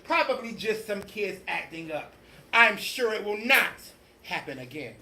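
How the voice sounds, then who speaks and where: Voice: mid-range voice, very animated, sharp tone, exaggerated voice. A storybook reader voicing a stern, scratchy-voiced, witchy substitute lady who barks out bossy, menacing commands. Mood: sly, menacing. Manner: barking, drawn-out commands. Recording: echoey room, distant mic, faint noise.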